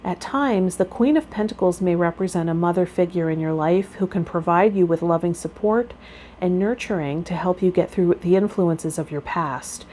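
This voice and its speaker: Low-voiced woman tarot vlogger, noisy background. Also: moderate pace, mellow. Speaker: tarot vlogger and spiritual guide. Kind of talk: reading aloud and chatting to viewers of a spiritual vlog.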